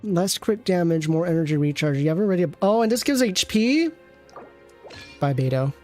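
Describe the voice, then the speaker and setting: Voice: medium pitch, animated, normal speed, mellow, faint music behind. A game streamer reviewing an account with casual commentary, thinking aloud and often trailing off mid-thought.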